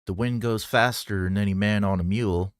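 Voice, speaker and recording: A man's voice: fairly low pitch, steady tone, a little fast, no room sound, voice pushed up, lightly acted. Guy doing a groveling hostler for an audiobook, sneaky hateful type, kinda uneasy, dry sound. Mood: cautioning.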